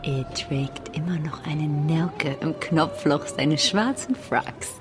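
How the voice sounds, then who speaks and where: An actress playing a flirtatious society lady in a radio drama, theatrical and sometimes breathy. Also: deep voice, takes it slowly, music in the background. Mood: coy, arch.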